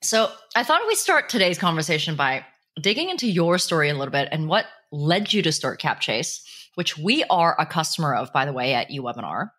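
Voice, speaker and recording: Casting: woman host, low pitch, bright tone, chatty and laughing often. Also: sing-song, brisk. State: jet lagged. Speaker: podcast host. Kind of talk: casual chat.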